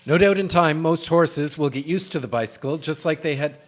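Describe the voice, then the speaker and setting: A man plays a reasonable 1890s cyclist in a radio play. He speaks formally and earnestly in a measured, slightly high voice. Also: natural voice. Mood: reassuring. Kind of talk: radio drama acting.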